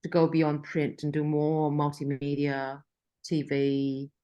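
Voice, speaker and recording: A woman's voice: low-pitched, monotone, slow, warm tone, smooth, dull audio. A middle-aged woman, a journalist, talking casually in an interview with frequent restarts. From Australia. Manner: chatty, frequent restarts and laughter. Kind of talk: interview conversation.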